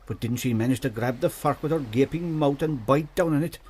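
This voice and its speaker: An actor playing a genial old storyteller from an island, with an Irish accent, folksy and vivid, in a radio drama. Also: male voice, medium pitch, fairly quick, a little mellow, slight background noise, natural voice. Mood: animated storytelling. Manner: folksy, teasing, vivid narrator. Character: genial old island storyteller, male, spinning yarns for children.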